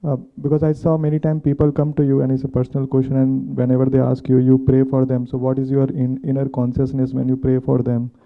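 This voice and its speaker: A man asking a question as a class participant, speaking spontaneously in halting, non-native English phrasing. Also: medium pitch, completely flat delivery. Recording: tinny, phone-like muffled sound.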